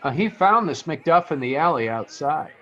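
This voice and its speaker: Shifty young American bellhop male actor, higher-pitched, slangy and hesitant hustler, vintage radio play, slightly dull sound. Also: expressive, normal speed, a bit bright, soft background music, some chatter behind. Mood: sly, chummy. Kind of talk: old-time radio detective drama acting.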